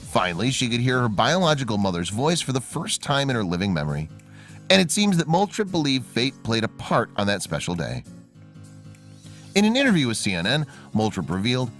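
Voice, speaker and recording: A man reading a scripted human-interest story in a measured storytelling delivery. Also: fairly low pitch, a bit bright. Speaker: narrator.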